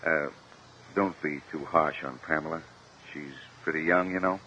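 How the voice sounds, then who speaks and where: An actor playing a cautious, courteous gentleman in a 1950s radio drama, polite and a little hesitant. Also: a bass voice, fairly even intonation, full character voice. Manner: polite, measured, apologetic, hesitant. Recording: noisy, muffled 1950 radio broadcast.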